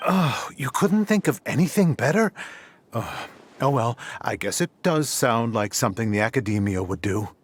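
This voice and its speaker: A man's voice: mid-range voice, lively intonation, normal speed, breathy edge. A man voicing a practical young helper in a video game, reassuring and businesslike. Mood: mildly exasperated. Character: steady, practical young male helper, mildly exasperated.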